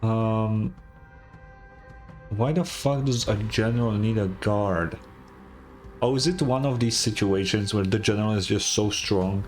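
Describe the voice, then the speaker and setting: A male streamer gives casual reactions to a story game in a fairly low voice. He is irreverent, swears freely and talks back to the characters. Game music plays quietly behind him. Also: a little slow, pauses often. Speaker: gaming streamer.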